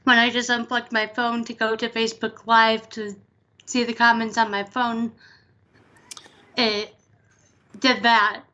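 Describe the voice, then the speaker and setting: A woman's voice: on the high side, flat delivery, unhurried, long pauses, pushed and bright, lo-fi sound. A woman co-hosting a live stream, chiming in with brief, offhand remarks and giggles. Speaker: show co-host. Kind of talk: casual live-stream chat.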